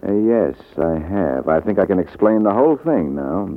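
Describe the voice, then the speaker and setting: An actor playing a wry, self-assured private detective with an American accent, glib and slangy in an old radio drama. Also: male voice, low-pitched, big pitch swings. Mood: confident, probing. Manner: glib, slangy, unhurried reasoning. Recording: muffled narrow-band old radio recording.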